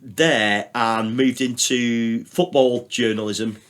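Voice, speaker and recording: A man's voice: mid-range voice, fairly even intonation, slow, a bit bright. A middle-aged man, telling rambling stories as a podcast guest, full of fillers and the odd swear word. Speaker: podcast guest, radio producer. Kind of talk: casual chat.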